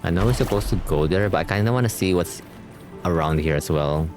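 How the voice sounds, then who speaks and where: A man's voice: sits low, expressive, brisk, a little mellow, faint music behind. A man doing casual, slangy gameplay reaction commentary as a streamer. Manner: slangy, informal.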